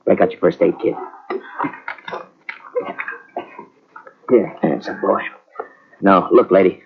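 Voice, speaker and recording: A man's voice: low voice, steady tone, moderate pace. A wry tough-guy soldier. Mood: coaxing. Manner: gruff slangy soldier talk, wry narration. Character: tough wartime soldier narrator, wry and streetwise. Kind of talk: 1940s radio acting. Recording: muffled old radio recording with music.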